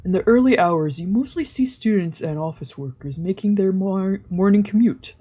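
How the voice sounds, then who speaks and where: A woman's voice: medium pitch, expressive, normal speed, mellow, slightly rough. A woman streamer casually reading a visual novel aloud and commenting as she plays. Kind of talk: casual let's play with narration.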